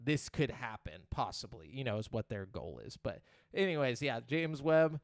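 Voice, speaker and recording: A man's voice: mid-range voice, expressive, normal speed, no echo, clean studio sound. Rambling young man hosting a podcast. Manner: loose, rambling, lots of fillers. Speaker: podcast host. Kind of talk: casual chat on a live stream.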